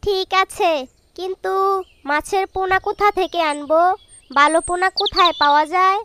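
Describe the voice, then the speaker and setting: A woman's voice: extremely high pitch, moderate pace. A woman voicing an eager, thrifty young wife bird in a children's cartoon, speaking in an earnest, girlish way. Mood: determined. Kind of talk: children's cartoon moral story dialogue. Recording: noisy track with birdsong behind. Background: birds chirping.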